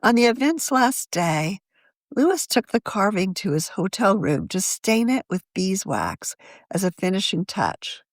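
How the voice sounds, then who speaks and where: A woman reading a written article aloud as a magazine editor, in a measured, journalistic manner of scripted narration. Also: medium pitch, very animated, dry recording, studio quality.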